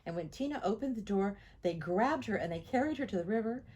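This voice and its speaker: Woman doing kids story time, really expressive, up and down pitch, bit raspy. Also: a little fast. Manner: animated, repeats sound words. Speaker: storyteller for children. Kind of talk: expressive read-aloud of a picture book.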